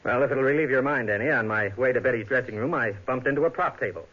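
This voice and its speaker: An actor playing an irritable, defensive murder suspect in a 1950s radio drama, curt and indignant. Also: male voice, medium pitch, fast talker, natural voice. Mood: defensive, scornful. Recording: dull, muffled old radio broadcast.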